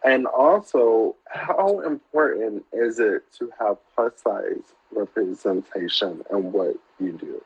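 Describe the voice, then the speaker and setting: A man co-hosting a live-stream interview, asking questions in a slow, measured way. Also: on the low side, lively intonation, husky. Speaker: co-host. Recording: muffled lo-fi live stream audio.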